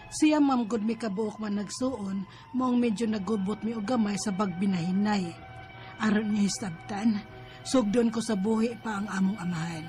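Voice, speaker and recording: A woman's voice: mid-range voice, moderate pace, a little mellow, soft background music, close to an everyday voice. An actress with a Cebuano Filipino accent playing a troubled married woman narrating her letter in a radio drama. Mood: earnest recounting.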